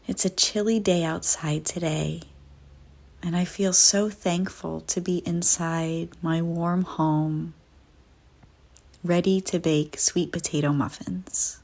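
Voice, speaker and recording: Female meditation narrator: very slow, breathy and husky, soft soothing delivery, unhurried pauses. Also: lowish voice, steady tone. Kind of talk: guided visualization meditation, scripted first-person narration.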